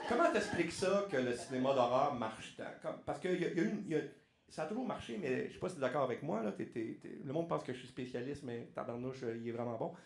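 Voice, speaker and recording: A man's voice: medium pitch, lively intonation, fast talker, creaky, echoey room. A man with a Québécois French accent hosting a podcast, asking questions in a playful, joking way. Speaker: podcast host. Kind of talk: casual podcast interview.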